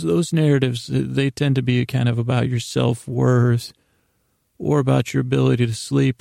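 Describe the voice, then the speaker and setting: A middle-aged man hosting a bedtime podcast, rambling whimsically in a creaky voice, often halting and losing his thread. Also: medium pitch, no echo, studio quality. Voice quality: creaky, gravelly, mellow voice. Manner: meandering, halting, trails off mid-thought, whimsical. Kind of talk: rambling, improvised bedtime monologue to listeners.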